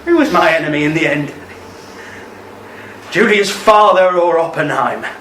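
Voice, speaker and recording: An actor playing a guilt-ridden, middle-aged ex-serviceman in a radio play, halting and full of self-reproach. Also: on the high side, animated, a bit bright. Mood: weary, regretful. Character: guilt-ridden ex-serviceman husband, injured Cold War test veteran. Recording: roomy, distant mic, faint background noise.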